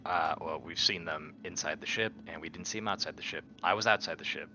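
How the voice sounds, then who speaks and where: A man's voice: sits low, fairly quick, bright, forceful tone. A man playing a tabletop game, talking through it casually in a blunt, argumentative way.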